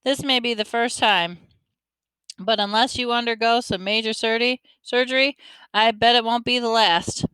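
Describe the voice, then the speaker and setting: A woman's voice: fairly high pitch, steady tone, normal speed, pushed and bright, smooth, no echo, pitched above the performer's own voice. A woman reading a folksy, teasing grandfather. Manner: folksy, joking, chatty. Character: friendly teasing older man, grandfather, army veteran.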